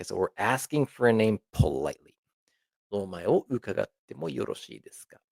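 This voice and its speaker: A man with a lowish voice and plenty of vocal fry presents a language lesson in an informal, explanatory way. He repeats example phrases and checks in often as he goes. The sound is very dry, with hardly any room in it. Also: darkish tone, dry recording. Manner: conversational, checks in often with right and okay.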